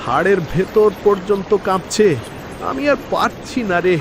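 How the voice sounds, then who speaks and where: Guy doing a cartoon fisherman, highish voice that swings around, noisy watery background. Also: expressive, a little slow. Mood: weak, suffering. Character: village fisherman, cheerful then weakening and gasping. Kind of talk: animated moral story acting.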